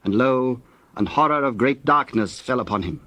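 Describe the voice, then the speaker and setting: A man narrating scripture from a script in a measured, solemn manner. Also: medium pitch, lively intonation, sharp tone.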